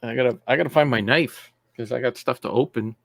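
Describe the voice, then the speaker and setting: A man co-hosting a casual live chat in a gravelly voice. He is low-key and hesitant, repeating himself as he talks. The sound is dry and close. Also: quick pace, a bit bright, dry recording. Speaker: regular co-host.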